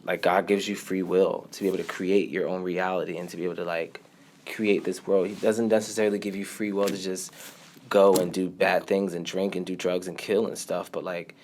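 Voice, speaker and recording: Animated low-voiced man telling his story. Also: fairly quick, a little hiss or hum. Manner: animated storytelling, laughs often, trails off quietly. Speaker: podcast guest, musician. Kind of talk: casual interview chat.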